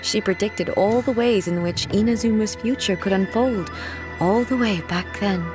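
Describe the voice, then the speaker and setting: A woman's voice: medium pitch, normal speed, over music, natural voice. A woman playing a reserved, earnest immortal ruler in a video game, speaking formally and with restraint. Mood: reflective. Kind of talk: English video game voice acting.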